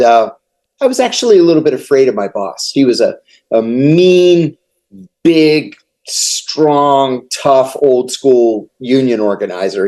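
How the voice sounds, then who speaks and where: A middle-aged American man, a guest on an interview podcast, telling long anecdotes in a measured way. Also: medium pitch, darkish tone. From United States. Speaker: guest, former intelligence officer. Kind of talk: storytelling interview answers.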